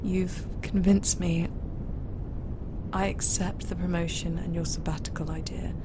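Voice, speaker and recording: A woman's voice: fairly low pitch, level tone, measured pace, heavy background noise, lightly acted. A woman playing a modest, agreeable starship chief engineer in a science fiction audio drama.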